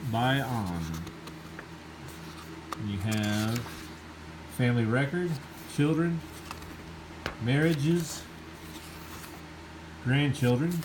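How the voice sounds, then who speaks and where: A man's voice: medium pitch, extremely slow, long pauses, background noise. A man with a slight cold, sniffling a little, giving an enthusiastic, rambling casual review of a Bible.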